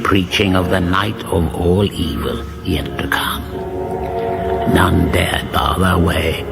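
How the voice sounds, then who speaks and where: A man's voice: deep voice, vocal fry, full character voice. A man playing a solemn, portentous storyteller, giving scripted mock-epic narration for a radio comedy. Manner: grave, portentous. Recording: loud music behind the voice.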